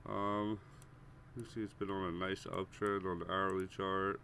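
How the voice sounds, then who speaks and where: Stuffy low-voiced man calling stock levels. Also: level tone, measured pace, vocal fry, fairly bright tone, slight background noise. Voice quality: congested, nasal. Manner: casual, repetitive, addresses viewers as guys. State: stuffy head cold. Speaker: stock trading streamer. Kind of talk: live premarket chart walkthrough.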